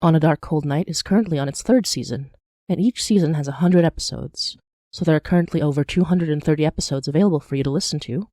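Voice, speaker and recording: A podcast announcer reading a scripted promo in a measured narration style. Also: mid-range voice, dark tone, no room sound, studio quality.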